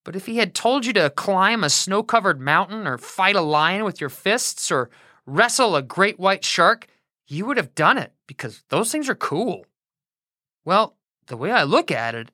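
A woman voicing a polite man from a general's group who reasons with him gently. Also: medium pitch, no echo, clean studio sound. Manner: polite, coaxing.

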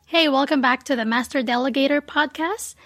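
A woman's voice: sits high, fairly quick, fairly bright tone, soft background music. A woman from the Philippines, speaking as a podcast host introducing and interviewing a guest.

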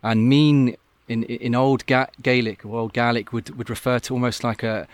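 A man's voice: mid-range voice, little pitch movement, normal speed, no echo. A man who works as an open-water swim coach, talking earnestly and thoughtfully in a casual podcast interview, often restarting his sentences. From Scotland.

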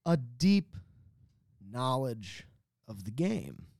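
A man's voice: mid-range voice, big pitch swings, extremely slow, gravelly, darkish tone, dry recording, clean studio sound. A man co-hosting a podcast in casual chat, with an opinionated, teasing manner. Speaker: podcast co-host.

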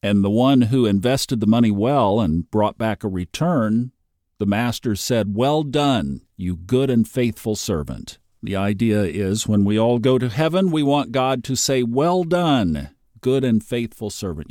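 A man's voice: lowish voice, expressive, unhurried, mellow, no echo, studio quality. A man in his fifties hosting a daily astrology podcast, chatty and upbeat, laughing easily. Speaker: podcast host.

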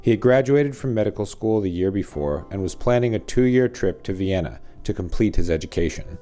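Male host-narrator, low and mellow tone, dry humor in a scripted read. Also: expressive, a little fast, faint music behind. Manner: wry, dry asides.

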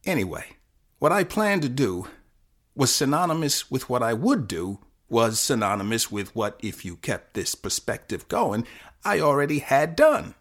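A man playing a confused, fatalistic teenage boy who narrates his own short story in halting, self-correcting fragments. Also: mid-range voice, very animated, a bit bright. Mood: nervy, muddled. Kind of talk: first-person dramatic short-story performance.

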